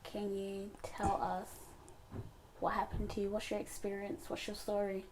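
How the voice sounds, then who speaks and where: A woman's voice: medium pitch, completely flat delivery, a little slow, a little mellow, slight background noise, rough recording. A woman hosting a casual interview, asking questions in a friendly, teasing way. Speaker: host.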